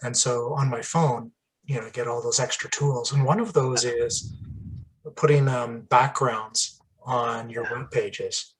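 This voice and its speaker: A man hosting a casual interview, chatty and rambling, with asides and the odd throat clearing. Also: medium pitch, fairly even intonation, measured pace, poor audio. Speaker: podcast host.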